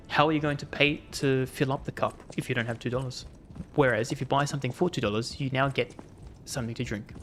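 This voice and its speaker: Streamer type, gravelly voice, rambling and jokey over the game, faint music. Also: male voice, mid-range voice, fairly bright tone. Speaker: gaming streamer. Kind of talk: casual gameplay commentary.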